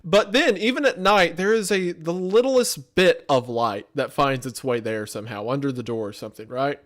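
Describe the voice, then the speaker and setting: A man chatting casually as he gives reaction commentary, speaking in an emphatic, expressive storytelling way. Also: a little high, normal speed. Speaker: content creator reacting and commenting.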